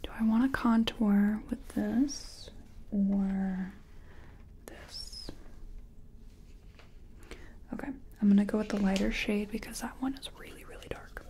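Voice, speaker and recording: Female ASMR makeup artist: breathy whisper, gentle hesitant chat, mellow and even in pitch, slight background noise. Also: slow, long pauses. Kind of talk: soft-spoken ASMR personal-attention roleplay.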